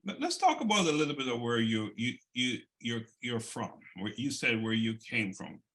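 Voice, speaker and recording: A male interview host with a gravelly, bright, tense tone. He encourages his guest and stumbles slightly as he begins his questions. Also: medium pitch, very animated, normal speed. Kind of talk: casual interview.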